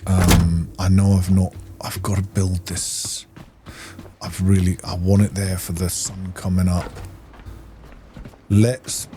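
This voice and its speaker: A man giving casual, low-key gameplay commentary, musing and thinking aloud to himself. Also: flat delivery, takes it slowly, pauses often, dark tone. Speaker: gaming video host.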